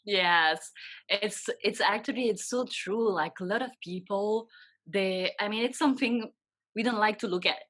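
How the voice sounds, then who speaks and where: A woman's voice: mid-range voice, a bit bright. A pregnant woman in her thirties from Belgium with a French accent, earnestly explaining finance in a casual interview. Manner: quick, earnest, restarts sentences. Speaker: guest, financial advisor.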